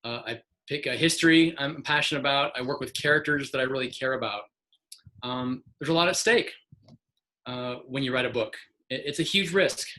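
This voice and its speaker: A man's voice: medium pitch, fairly quick, bright, forceful tone. A man in his sixties, an author on a video call, talking earnestly and encouraging a young reader. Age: 60s. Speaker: author guest. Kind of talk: casual video call chat.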